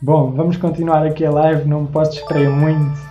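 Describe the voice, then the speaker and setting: A man's voice: fairly high pitch, flat delivery, fairly quick, muffled recording, soft background music. A young man in his twenties with a Lisbon Portuguese accent, chatting casually on a live stream as he thanks viewers by name. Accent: European Portuguese, Lisbon. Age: 20s. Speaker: live-stream host and artist. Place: small home room indoors.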